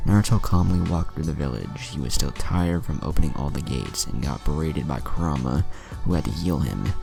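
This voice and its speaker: A man's voice: extremely low pitch, brisk, vocal fry. A man narrating a scripted fan-fiction story, with music behind his voice. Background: music under the voice.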